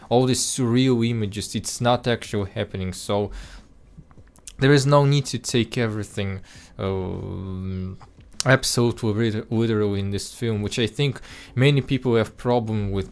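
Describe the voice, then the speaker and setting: A man speaking English as a second language with an accent listeners have taken for Russian, talking through a film review in an earnest way, with frequent restarts and filler words. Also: on the low side. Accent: Russian-sounding non-native English. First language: not English. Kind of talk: casual spoken film review.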